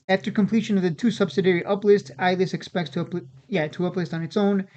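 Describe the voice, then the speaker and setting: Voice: mid-range voice, darkish tone. Casual stock commentator, slightly dull sound. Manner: informal, repeats and restarts phrases. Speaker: host of a stock-trading video channel. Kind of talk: casual unscripted market commentary.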